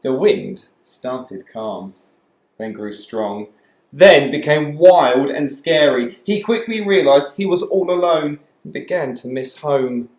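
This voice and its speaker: A man reading a children's story aloud as a storyteller, with animated, dramatic emphasis. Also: a little high, slow. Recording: echoey room.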